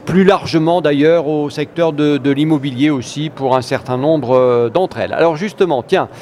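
A man's voice: a little high, moderate pace, a little mellow. Chatty male interviewer with faint noise. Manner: chatty, teasing, leading questions. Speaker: interviewer, host. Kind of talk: conversational interview.

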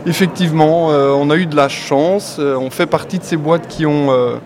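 A man with a Belgian French accent, answering an interviewer as a company guest. He talks with enthusiasm and a sales pitch, with many "euh" fillers. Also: normal speed, crowd noise. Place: event venue, among people. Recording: clear background noise.

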